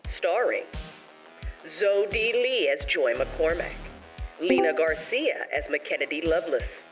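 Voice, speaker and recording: A man's voice: a little high, expressive, slow pace, a bit bright. A man reading scripted closing credits as the show's announcer. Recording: slightly distant, faint music behind.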